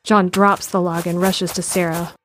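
A woman narrating an audio drama in a calm, steady read. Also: fairly low pitch, moderate pace, slight background noise.

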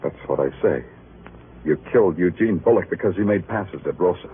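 A man's voice: low voice, expressive, a little fast, slight background noise. An actor playing a weary, sardonic male police detective in a 1950s radio noir drama, clipped and hard-boiled. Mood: hard, needling. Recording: muffled old radio recording, music behind.

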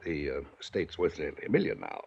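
An actor playing a pompous, domineering wealthy older uncle in a 1950s radio drama. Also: male voice, rumbling low, wildly swooping pitch, fast talker, vocal fry, a little mellow, slightly rough, exaggerated voice. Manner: pompous, clipped, rises to angry lecturing. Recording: dull lo-fi 1950s radio broadcast.

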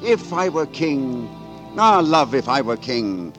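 An actor playing an ardent, impudent beggar poet in a 1940s radio drama, with florid, lyrical delivery. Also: male voice, mid-range voice, big pitch swings, slow pace. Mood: rapturous. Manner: florid, lyrical, romantic declamation. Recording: old 1940s radio recording, music behind.

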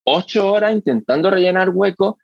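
Husky-voiced man explaining at length. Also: high-pitched, lively intonation, brisk, no echo, muffled recording. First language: Spanish. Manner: thoughtful, restarts and repeats words while explaining. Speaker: hearing research guest. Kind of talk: explanatory interview answers.

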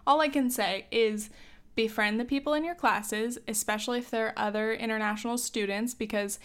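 A young woman in her twenties giving casual advice in a chatty way, with many fillers and small laughs, a little hoarse from coughing. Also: fairly high pitch, steady tone, brisk. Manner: chatty, lots of "like" and "um", laughs often. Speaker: advice host.

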